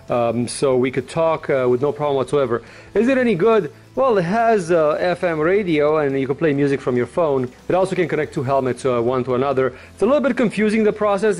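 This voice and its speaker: A man with a fairly high-pitched voice talks through a product review as a vlogger would. He is informal and blunt, unscripted and a bit rambling. Faint music plays behind him. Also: a little mellow.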